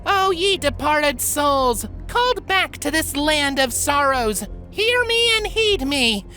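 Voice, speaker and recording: A jittery young pony sorceress, very high and thin, lofty old-fashioned wording, stumbling, distant-sounding. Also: male voice, very animated, takes it slowly, pushed and bright, soft background music, full character voice. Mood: solemn. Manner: grand archaic incantation, faltering with fillers. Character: young unicorn mare summoning spirits, nervous, archaic.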